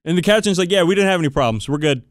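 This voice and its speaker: A man's voice: a little high, expressive, very fast, dry recording, clean studio sound. A man co-hosting a podcast in casual chat, emphatic, irreverent and full of swearing. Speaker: podcast co-host. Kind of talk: casual banter about tabletop wargaming.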